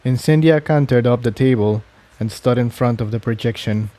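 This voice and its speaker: A man's voice: medium pitch, normal speed, warm tone. A man narrating a scripted adventure story as an audiobook-style reading. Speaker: fan fiction narrator.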